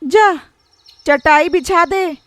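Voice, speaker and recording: A woman voicing a cunning, bossy wife in a cartoon story, commanding and animated. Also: very high voice, drawn-out words, dry recording, full character voice. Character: bossy, cunning wife ordering servant around. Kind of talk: Hindi cartoon story acting.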